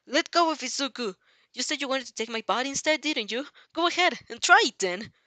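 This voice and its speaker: A woman's voice: high-pitched, lively intonation, a little fast, sharp tone, dry recording, pitched above the performer's own voice. A woman voicing a fiercely loyal, protective young vampire girl in a fan fiction reading, emphatic and spirited. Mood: furious, defiant.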